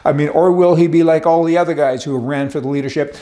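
A man's voice: on the high side, fairly even intonation, quick pace, dark tone. An older man hosting a political commentary show, speaking to camera in a chatty, sarcastic way while reading from papers in a studio. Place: studio with wooden backdrop.

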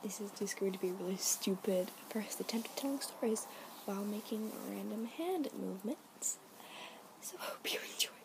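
A woman's voice: medium pitch, expressive, normal speed, gravelly. A young woman whispering a rambling, giggly story to her camera as a vlogger. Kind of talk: whispered ASMR story time. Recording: noisy home recording.

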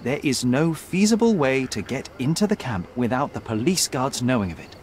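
An actor playing a young detective in a game, articulate, precise and self-assured. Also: male voice, mid-range voice, sing-song, moderate pace, darkish tone, slight background noise, lightly acted. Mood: confident, probing. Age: young adult. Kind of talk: dramatic game cutscene dialogue. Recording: faint night ambience behind.

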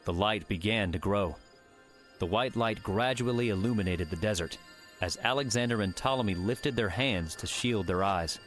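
A man's voice: lowish voice, normal speed, fairly bright tone, faint music behind. A man reading scripted audiobook narration.

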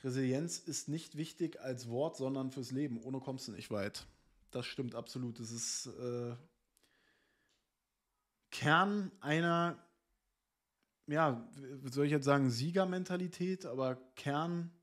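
A man's voice: mid-range voice, a little slow, a bit bright. Streamer, thirties, male, reads an article on stream and riffs on it casually, desk mic in a small room. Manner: reads text aloud, then comments in loose asides with fillers. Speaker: streamer reading and reacting to an interview. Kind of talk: casual chat, reading an article aloud.

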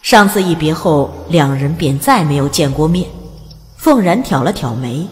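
A woman narrating an audiobook with expressive, gently lilting storytelling. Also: deep voice, moderate pace, in a large hall.

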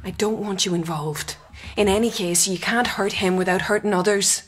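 An actress playing a spirited, sardonic young woman in a radio drama, with a husky voice. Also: mid-range voice, fairly even intonation, moderate pace, lightly acted. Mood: urgent pleading.

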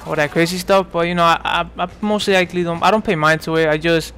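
A man's voice: fairly high pitch, steady tone, fast talker, a bit bright, slight background noise. Rambling young gaming commentator, man. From New York. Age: 20s. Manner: rambling, lots of fillers and restarts. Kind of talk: casual gameplay commentary.

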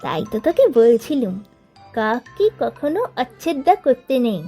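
A woman's voice: fairly high pitch, very animated, unhurried, mellow, slightly rough, faint music behind, heavily acted. A woman voicing a boastful teenage boy who leads his gang, spinning a tall tale in a teasing tone. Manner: bragging, teasing storyteller tone. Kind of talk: storybook reading of a figure's tall tale.

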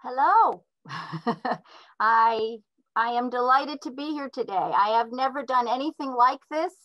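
Woman, 60s, first language Ukrainian, slow and hesitant lecturer on a muffled, dry video call. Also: high-pitched, no room sound. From Philadelphia, USA. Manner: hesitant, restarts often, slow and careful. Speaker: artist lecturer. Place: home room with a wood stove. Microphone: laptop webcam mic on a video call.

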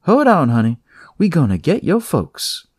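A narrator voicing a warm, brisk female hospital nurse with a rich voice and folksy, reassuring chatter. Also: medium pitch, huge pitch swings, breathy edge, no room sound, clean studio sound, voice pushed up, heavily acted. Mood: brisk, kindly.

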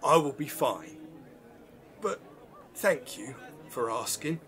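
A put-upon manservant played by a male actor in an audio play. His mid-pitched voice is bright and expressive, always polite and deferential. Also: slow pace. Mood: deferential, kind. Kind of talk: audio drama acting.

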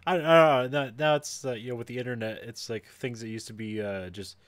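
A man co-hosting a podcast who sounds a little stuffy, talking in halting bursts full of fillers. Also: medium pitch, fairly even intonation, dry recording. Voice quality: sounds stuffy, as if he has a cold. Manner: halting, filler-heavy, quick to laugh. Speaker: podcast co-host. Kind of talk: casual banter.

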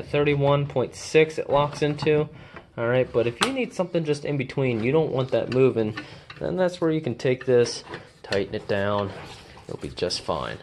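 A man's voice: mid-range voice, noisy background. A man casually walking viewers through unboxing a tool indoors, talking in a chatty, informal way with many fillers and restarts, filmed on a handheld phone. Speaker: video host doing a tool unboxing. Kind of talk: casual hands-on demonstration. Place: indoor room with wooden workbench. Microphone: handheld phone camera, first-person view.

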